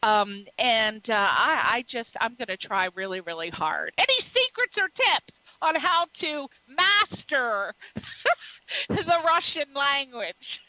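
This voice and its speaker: A woman's voice: high-pitched, sing-song, takes it slowly, sharp tone, no echo, poor audio. A woman hosting a radio interview show, gushing with praise and chatting casually with her guest.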